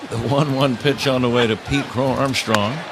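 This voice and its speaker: A man's voice: mid-range voice, steady tone, a little slow. A man doing live baseball play-by-play on the radio from a ballpark booth. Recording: crowd and stadium noise behind. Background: ballpark crowd and ambient noise.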